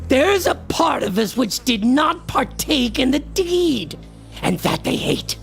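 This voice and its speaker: A shrill, creaky-voiced frantic occultist. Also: male voice, very animated, fairly bright tone, faint music behind, heavily acted. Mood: fevered intensity. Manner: theatrical, grandiose, drawn-out shrieked declamation. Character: frantic obsessed male occultist raving about cosmic horrors. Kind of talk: melodramatic horror radio theater acting.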